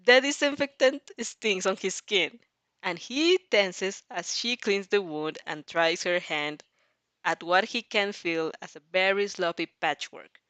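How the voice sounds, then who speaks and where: A woman's voice: mid-range voice, measured pace, fairly bright tone, no echo. A woman reading fan fiction aloud expressively, giggly and reacting as she goes, sometimes stumbling over words.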